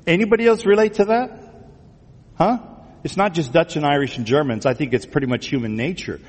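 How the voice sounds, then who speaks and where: A man's voice: fairly high pitch, fairly quick. A man speaking as a pastor in a conversational sermon, teasingly putting questions to the congregation and repeating phrases back. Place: large church room before a congregation. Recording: reverberant hall sound.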